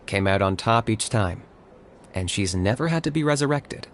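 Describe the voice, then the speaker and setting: A man voicing a sensible, caring young male warrior in a video game, measured and matter-of-fact. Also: lowish voice, animated, slight background noise. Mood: dry, observant. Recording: music behind the voice. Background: music.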